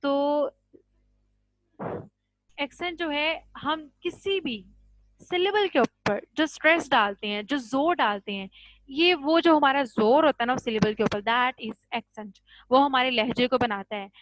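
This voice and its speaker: A woman teaching an English grammar class online, explaining slowly and checking in with "ठीक है" after each point. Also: high-pitched, a bit bright, no room sound. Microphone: computer mic on a video call. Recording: dull, dry video-call audio.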